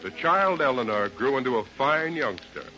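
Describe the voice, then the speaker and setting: Male narrator, animated intonation, measured dramatic storytelling, 1930s radio drama, muffled vintage recording. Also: mid-range voice, faint music behind.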